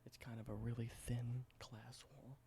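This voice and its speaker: A man doing a whispered ASMR roleplay, explaining things slowly and correcting himself now and then. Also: medium pitch, little pitch movement, very noisy. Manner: soft whisper, slow explanations with small self-corrections. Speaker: ASMR creator.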